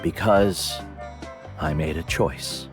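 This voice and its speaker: Deep-voiced wise older mentor. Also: male voice, takes it slowly, music in the background. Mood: calm, reassuring. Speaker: teacher and guide. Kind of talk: scripted video game voice acting.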